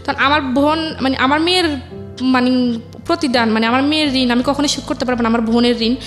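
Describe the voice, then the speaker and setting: A young woman with a high voice and a Bangladeshi accent tells her personal story in an interview. She talks quickly and colloquially, repeating phrases and quoting her family. Faint music runs underneath. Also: steady tone, a bit bright. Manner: colloquial dialect forms, repeats herself, quotes family members. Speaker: interview guest. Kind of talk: personal life story told conversationally.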